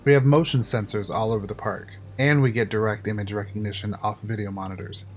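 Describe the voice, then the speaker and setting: A man's voice: lowish voice, steady tone, fast talker, a little hiss or hum, close to an everyday voice. A man playing a proud, matter-of-fact control-room engineer in an audio drama, explaining with confidence. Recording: music under the voice. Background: music.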